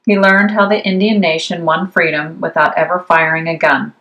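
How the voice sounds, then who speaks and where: Low-pitched woman reading a picture book. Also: steady tone, moderate pace, a bit bright, lo-fi sound. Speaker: read-aloud storyteller. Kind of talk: scripted children's picture book narration. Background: music behind the voice.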